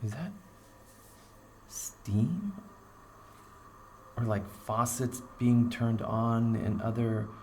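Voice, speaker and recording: Casting: curious investigator, mid-pitched man, informal and insistent questioning, audio drama with faint background noise. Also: drawn-out words, pauses often, darkish tone. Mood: puzzled. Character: curious, insistent male paranormal investigator interviewing witnesses. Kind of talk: naturalistic scripted podcast drama.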